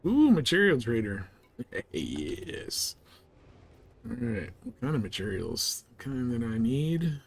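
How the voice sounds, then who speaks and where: A man's voice: medium pitch, wildly swooping pitch, slow, gravelly, husky. A man giving casual live commentary on a game, chatting and thinking aloud. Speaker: game streamer.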